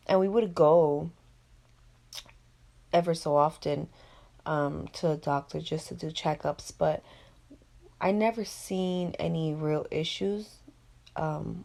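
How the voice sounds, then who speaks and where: Gravelly-voiced young woman podcast host. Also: sits low, warm tone. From Florida, USA. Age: 20s. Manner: chatty, asks quick follow-up questions. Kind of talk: casual interview.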